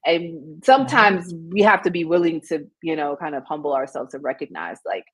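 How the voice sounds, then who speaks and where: Reflective low-voiced woman guest. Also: expressive, moderate pace, fairly bright tone, husky. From United States. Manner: reflective, loose with fillers like you know and like. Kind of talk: casual interview conversation.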